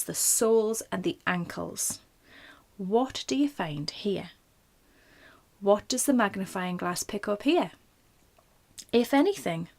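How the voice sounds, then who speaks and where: A woman leading a guided meditation in a gentle, informal, reassuring manner. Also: a little high. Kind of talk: guided body scan meditation.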